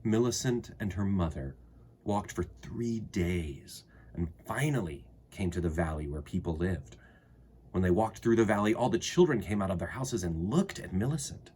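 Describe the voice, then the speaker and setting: A man reading a children's story aloud expressively, with deliberate phrasing and dramatic pauses. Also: low voice, lively intonation, darkish tone, slight background noise.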